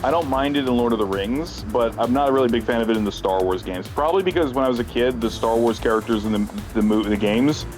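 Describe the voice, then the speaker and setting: A man talks casually, the way a livestreamer does with friends. His voice sits at a medium pitch, and his talk rambles in a self-deprecating way. Music plays clearly behind him. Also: fast, few pauses, darkish tone.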